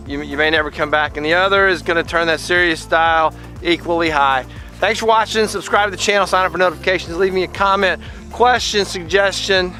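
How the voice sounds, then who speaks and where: Bright-voiced man talking in a casual vlog. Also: fairly high pitch. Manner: halting, repeats words when serious, upbeat when telling the story. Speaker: vlogger, teacher.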